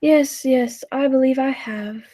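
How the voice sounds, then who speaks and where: A very high-voiced young lady adventurer acted by a woman, anxious yet brave. She speaks and narrates in dramatic, literary 1940s radio drama style, as companion to an eccentric time traveller. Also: measured pace, mellow. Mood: uneasy.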